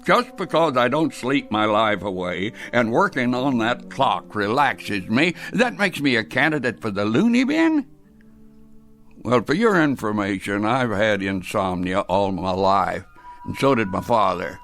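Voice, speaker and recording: A man's voice: on the low side, big pitch swings, a little slow, a bit bright, soft background music, full character voice. An actor playing a stubborn, sardonic elderly grandfather and retired clockmaker in a radio drama. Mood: indignant. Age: older. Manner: defensive, sardonic, folksy.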